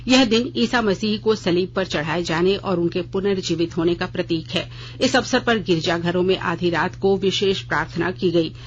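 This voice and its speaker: A news reader presents a scripted radio bulletin with a formal, measured delivery. The voice sits at a middle pitch. The recording is dull and lo-fi, with faint noise and hum behind it.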